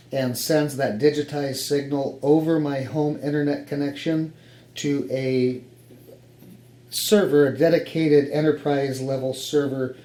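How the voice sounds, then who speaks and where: A man's voice: mid-range voice, dark tone. A man with an American accent giving a casual, explanatory walkthrough of equipment in his home office. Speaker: hobbyist radio operator presenting his setup. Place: home office radio room, indoors. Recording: roomy echo with faint background noise.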